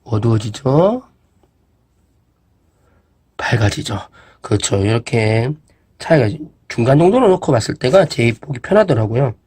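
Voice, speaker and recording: A man's voice: mid-range voice, a little slow, pauses often, slightly rough. A man casually reviewing an e-reader, chatting and thinking aloud as he shows it off. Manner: relaxed, chatty, thinks aloud with fillers and asides. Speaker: product reviewer. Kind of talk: casual product demo vlog.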